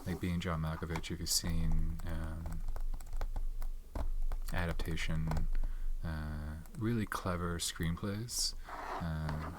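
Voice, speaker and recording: Very low-voiced soft-spoken man. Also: fairly even intonation, slow pace, noisy background. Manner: rambling, many fillers, soft-spoken with some whispered words. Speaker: ASMR channel host. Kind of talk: soft-spoken ASMR movie chat. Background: tapping and keyboard clicks.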